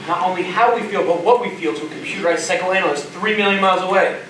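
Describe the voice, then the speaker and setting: A man's voice: high-pitched, fast, a bit bright. Man acting astronaut crewmate in a very echoey theater. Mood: cocky, joking. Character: male astronaut crewmate, flippant then bewildered. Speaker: actor in a school theater production. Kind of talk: amateur stage play acting. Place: theater stage, picked up from a distance. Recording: echoey distant stage recording, faint noise.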